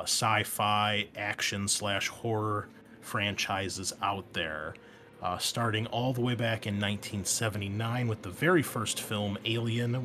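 A man's voice: lowish voice, a bit bright, slight background noise. A man speaking as a podcast host and game master, giving a casual introduction to his channel. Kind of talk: casual scripted-outline introduction to a gaming channel.

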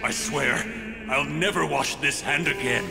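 An actor playing a burly, earnest bodyguard in a game, speaking in a halting, reverent, emphatic way. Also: male voice, on the high side, animated, creaky, over loud music. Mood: elated. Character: burly male bodyguard, earnest, awestruck admirer. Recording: long hall reverb, game ambience behind.

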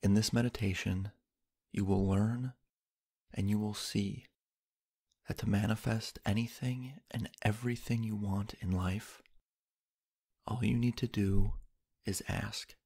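Male sleep-hypnosis narrator: lowish, husky with some fry, soft, unhurried delivery with long pauses. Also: fairly even intonation. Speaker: guided meditation narrator. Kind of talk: sleep hypnosis guided meditation, slow scripted narration.

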